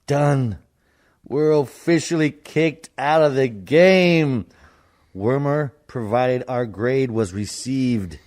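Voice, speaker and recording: A man's voice: mid-range voice, slow, darkish tone, close to an everyday voice. A man playing a grim college frat brother in an amateur radio comedy.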